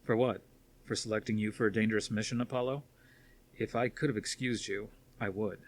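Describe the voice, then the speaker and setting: A man voicing a steady, fatherly older military commander in a science fiction story reading. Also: on the low side, fairly quick, natural voice. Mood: measured, concerned.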